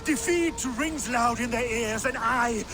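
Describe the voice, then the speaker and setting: A man playing a proud Danish warlord in a video game, declaiming with a gravelly voice and hunger for conquest. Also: very high-pitched, unhurried. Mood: triumphant. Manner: declamatory, triumphant orator. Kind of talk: dramatic video game acting. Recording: music behind the voice.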